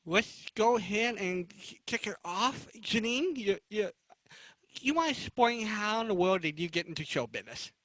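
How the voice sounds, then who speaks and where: Male panel moderator, high and bright, conversational, halting delivery. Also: animated, dry recording, poor audio. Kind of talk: unscripted panel introductions.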